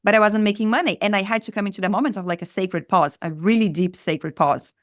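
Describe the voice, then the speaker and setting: A woman with a Brazilian Portuguese accent speaks earnestly, often repeating her points for weight. Her pitch sits at a middle height for a woman. The sound is dry, with no room echo. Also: fast talker. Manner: earnest, repeats phrases for emphasis. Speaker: spiritual mentor guest. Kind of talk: reflective podcast conversation.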